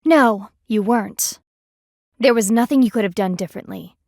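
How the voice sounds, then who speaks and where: A woman's voice: medium pitch, normal speed, darkish tone, no room sound, clean studio sound, lightly acted. A woman voicing a stern, haughty panda army lieutenant in a video game, speaking in a formal, clipped, dryly disdainful way. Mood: earnest.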